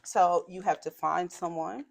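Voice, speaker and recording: Assertive woman with a strong, low voice. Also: measured pace, vocal fry, a little mellow. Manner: assertive, emphatic, builds points in lists. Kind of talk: conversational podcast talk.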